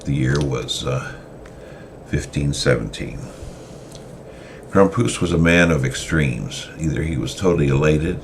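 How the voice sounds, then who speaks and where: A man narrates a story in a semi-dramatic reading, often stopping on hesitant fillers as he goes. His voice sits extremely low, with a gravelly fry. A faint background noise stays under the whole recording. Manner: unhurried reading with frequent hesitations.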